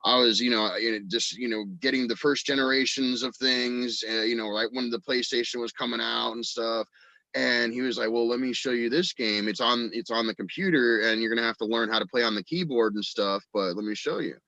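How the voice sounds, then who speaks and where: A man's voice: medium pitch, fairly even intonation, brisk, bright, forceful tone, no room sound, highs cut off. A man chatting casually as an interview guest, rambling enthusiastically about his creative work. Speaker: guest, audio drama writer and voice actor.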